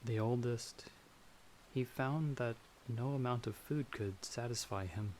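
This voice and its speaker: A young farmer, played by a man, mid-pitched and politely formal, tells his story in a fantasy audio roleplay. He is timid and easily scared, choosing his words with hesitation. A faint wash of stormy ambience sits behind his voice. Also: background noise, close to an everyday voice. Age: young adult. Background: stormy night ambience.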